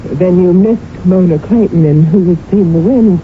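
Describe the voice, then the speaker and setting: An actress in a radio drama playing a guilt-ridden, grieving wife who is a stage comedienne, with a wry, brittle delivery. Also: female voice, lowish voice, normal speed, natural voice. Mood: guilt-stricken, insistent. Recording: muffled, noisy old radio broadcast.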